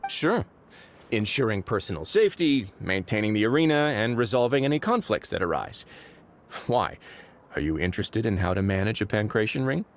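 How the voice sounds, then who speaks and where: Calm game warden, low voice, lively intonation, dry and measured. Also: male voice. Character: composed male prison warden, wry. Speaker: prison administrator. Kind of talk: game voice acting. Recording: studio game audio with faint music.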